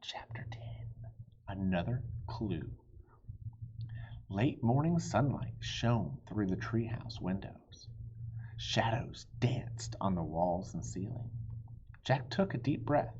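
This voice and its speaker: Husky man reading a children's story, very animated. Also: fairly low pitch, slow, pauses often, whispered. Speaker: audiobook reader. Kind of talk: expressive children's story narration. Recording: dull, lo-fi audio.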